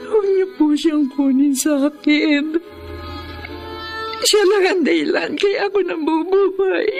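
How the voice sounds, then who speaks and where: Loving distressed wife, high voice, Filipino accent, pleading, radio drama, music underneath. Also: female voice, fairly even intonation, a little slow. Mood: tearful prayer. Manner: pleading, tearful, emotional. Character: devoted, anguished wife caring for her suffering husband.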